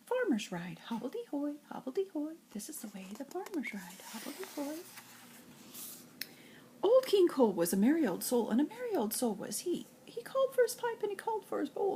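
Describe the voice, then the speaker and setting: Older woman with higher-pitched voice reading aloud. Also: mellow, a little hiss or hum. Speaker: grandmother reading children's poems. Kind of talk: expressive read-aloud of nursery rhymes and poems.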